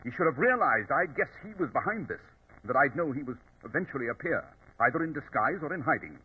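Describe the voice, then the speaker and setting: Husky, incisive master detective, old radio. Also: male voice, mid-range voice, sing-song, fast. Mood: assured explaining. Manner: precise, explanatory, theatrical. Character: keen, self-assured male detective, incisive and dryly superior. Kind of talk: 1940s radio acting. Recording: tinny old radio recording.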